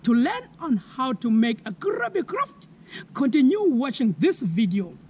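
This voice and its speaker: A man in his thirties with a South African accent, giving a slow, clear science lesson for children outdoors, asking viewers to spell words. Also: very high voice, big pitch swings, darkish tone, a little hiss or hum. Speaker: environmental educator. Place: outdoors on a lawn.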